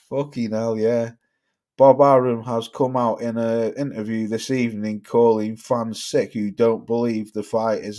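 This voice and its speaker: A man's voice: medium pitch, warm tone. Sweary young man with a Cheshire-Stoke accent. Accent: Cheshire English mixed with Stoke. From Cheshire, England. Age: young adult. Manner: blunt, sweary, rambling. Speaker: livestream host. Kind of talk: casual live chat reading viewer comments.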